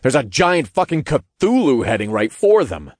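A man voicing a brash, foul-mouthed male hero who leads battles in a fantasy audiobook, gruff and commanding. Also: lowish voice, big pitch swings, moderate pace, dry recording, studio quality. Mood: incredulous.

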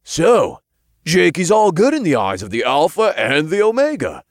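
A man voicing an excitable, whiny little imp sidekick with a strained, slangy delivery in a fantasy audiobook. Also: fairly high pitch, normal speed, no room sound, clean studio sound, pitched above the performer's own voice. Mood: eager. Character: excitable tiny clawed male imp, crude wisecracking sidekick.

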